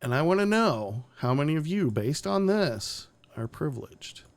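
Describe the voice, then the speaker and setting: A man's voice: on the high side, big pitch swings, normal speed. A man hosting a live-streamed talk show, talking casually and bluntly with sarcastic asides and swearing. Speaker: podcast host. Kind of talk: casual opinionated chat.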